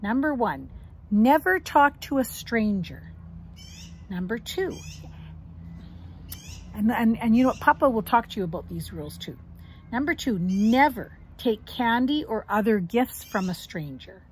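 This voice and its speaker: A middle-aged woman reading a children's storybook aloud in an expressive, instructive way, as if to young grandchildren. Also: a little high, measured pace, slight background noise, poor audio. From Canada. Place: outdoors on a wooden deck among trees.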